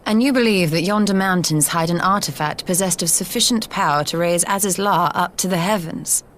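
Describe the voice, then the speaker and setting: An actress voicing a composed, businesslike woman in a video game cutscene, with formal, measured, archaic phrasing. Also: lowish voice, fairly bright tone, slight background noise, close to an everyday voice. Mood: cool, probing.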